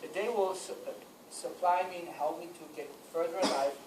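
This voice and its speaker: Echoey, distant young male lecturer, non-native English. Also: on the high side. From Kurdistan, northern Iraq; later northern Netherlands. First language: not English. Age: late 20s. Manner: halting, repeats words, addresses audience with questions. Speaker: motivational speaker, entrepreneur. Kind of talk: motivational lecture to students. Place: lecture auditorium. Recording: noisy echoey auditorium, distant microphone.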